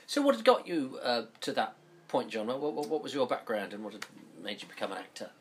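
A man's voice: medium pitch, sing-song, a little fast, a little hiss or hum. A man hosting an interview podcast, putting short questions and prompts to his guest. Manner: brief prompts and agreement.

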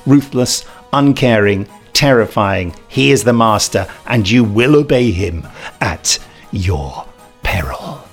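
A man's voice: on the low side, animated, slow, soft background music. A man hosting a podcast, chatting casually and reading reviews aloud with a jokey manner. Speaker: podcast host.

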